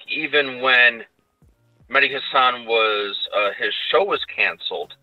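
A man on a phone call from his car, chatting casually, pausing often with "uh" between phrases. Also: lowish voice, no room sound. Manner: hesitant, many "uh" pauses. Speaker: guest on a call.

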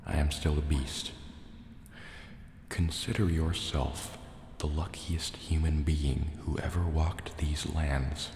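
A man voicing a huge, proud, wary sea serpent dragon in a soft-spoken, menacing ASMR roleplay, deliberate and a little hesitant. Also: very deep voice, fairly even intonation, gravelly, slight background noise, exaggerated voice. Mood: reluctant, haughty. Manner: slow, deliberate, threatening yet hesitant. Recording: roomy cave reverb, faint water ambience. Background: water sounds and cave ambience.

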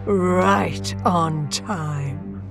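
A serene and cryptic seeress played by a woman in an audio drama. She speaks very slowly in a low, wistful voice with music clearly behind her. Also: heavily acted. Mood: serene, knowing.

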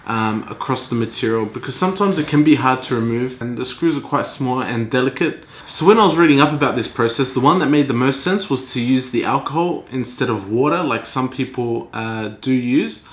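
A young man with a stuffy, congested voice, explaining his hobby work to camera in a relaxed, casual vlog. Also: mid-range voice, brisk, few pauses, echoey room. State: congested from a cold. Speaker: hobby watchmaker vlogger. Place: home workshop, indoors.

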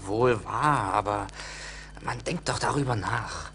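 A man's voice: on the low side, slow pace, sharp tone, full character voice. An actor playing a troubled man full of regret in a radio play, brooding and earnest. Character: troubled, pleading man regretting his lost heart. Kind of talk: 1980s German radio play acting. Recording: faint hiss of old vinyl record.

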